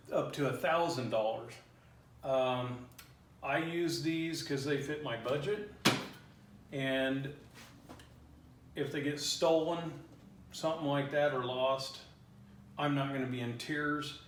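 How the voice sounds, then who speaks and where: A man giving a casual, unscripted how-to in plain, informal language, as a hobbyist presenter. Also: mid-range voice. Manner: plain-spoken, informal, colloquial. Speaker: hobbyist presenter demonstrating a DIY headset build. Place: roomy garage. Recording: roomy echo, distant mic, faint noise.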